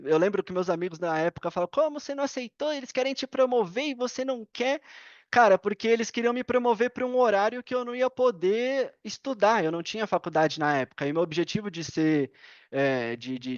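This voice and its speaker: High-voiced Brazilian man telling his story. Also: no echo, dull audio. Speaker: podcast guest. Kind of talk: casual interview storytelling.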